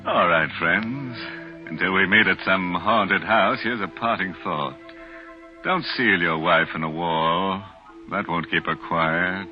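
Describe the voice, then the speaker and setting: An actor playing a ghoulish, mischievous host of a 1940s radio horror show, with a wry, macabre, theatrical manner. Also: male voice, low-pitched, takes it slowly. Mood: sly, ghoulish. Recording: muffled 1940s radio broadcast with music.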